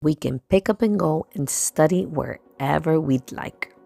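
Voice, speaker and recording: Low-pitched woman with a gravelly edge. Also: measured pace, dark tone. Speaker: homeschooling mother and video host. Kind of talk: scripted-sounding narration for a vlog. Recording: music playing behind the voice.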